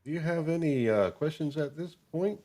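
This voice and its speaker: A man's voice: on the high side, expressive, normal speed, mellow. A man hosting a show in a casual, informal chat, chuckling between remarks. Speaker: show host.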